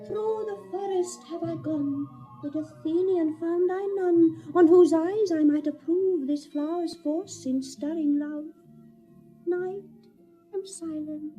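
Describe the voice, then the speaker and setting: A playful, mischievous sprite speaking verse in a very high, unhurried voice. Lines lilt toward song, and soft music plays behind. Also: female voice, highs cut off. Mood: sly. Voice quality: light, sing-song delivery. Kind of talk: stage drama acting.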